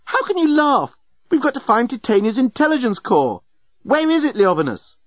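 A man's voice: extremely high pitch, big pitch swings, moderate pace, no echo, lo-fi sound, voice pushed up, heavily acted. A man voicing an attractive young female alien in an audiobook, indignant and exasperated, exclaiming her words.

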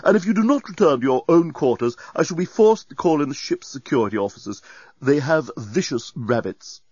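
A snobbish, officious robot servant from a comic storybook, acted out by a man. He speaks with cold, stiff formality and sniffy disdain. The pitch swoops about and the recording is dry. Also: big pitch swings, normal speed, no room sound, lo-fi sound. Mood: haughty, sniffy.

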